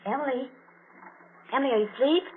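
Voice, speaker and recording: An actress playing an affectionate, naive young bride-to-be in a 1940s radio drama, gushing and breathless. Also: female voice, sits high, fast, noisy background, natural voice. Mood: excited, concerned. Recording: tinny old radio recording with music.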